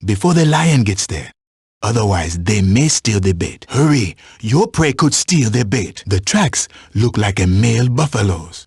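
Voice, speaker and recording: A watchful safari tracker guiding a hunt in a video game. His voice is very husky and fairly low, recorded dry and close. He instructs in formal, measured wording with an animated rise and fall. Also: no echo, heavily acted. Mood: urgent. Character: male African safari tracker, watchful, instructive hunting guide. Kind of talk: scripted video game voice lines.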